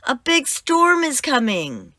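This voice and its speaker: Storybook first mate, acted by a woman: excitable lady pirate cat, urgent, animated pitch. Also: takes it slowly, dry recording, clean studio sound, exaggerated voice. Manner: shouted, urgent exclamations.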